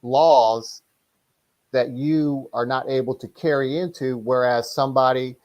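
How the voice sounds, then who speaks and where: A middle-aged man with a mid-pitched voice talks as a martial arts instructor in a casual interview. He rambles and restarts often, explaining things in a conversational, hands-on way. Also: fairly even intonation, unhurried, a little mellow, muffled recording.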